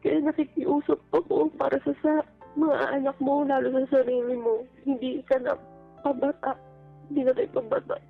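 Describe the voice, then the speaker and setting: Woman phoning into radio show, high creaky voice, earnest n halting, kinda pleading, music behind. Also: flat delivery. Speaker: caller seeking relationship advice. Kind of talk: personal, confessional phone-in talk. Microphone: phone call. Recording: tinny phone line with music underneath.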